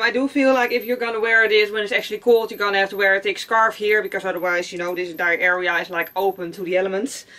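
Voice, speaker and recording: A woman's voice: medium pitch, a bit bright. A young woman vlogger chatting casually about her clothes at home, rambling a little with many fillers and repeated words. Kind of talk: casual haul vlog chat. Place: cluttered attic room at home.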